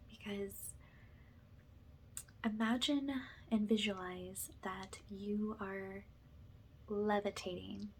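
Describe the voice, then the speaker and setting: A soft-spoken woman leading an ASMR guided meditation in a gentle, informal, instructive way, slipping at times into a whispery tone. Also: medium pitch, a little hiss or hum. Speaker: ASMR energy healing host and guide.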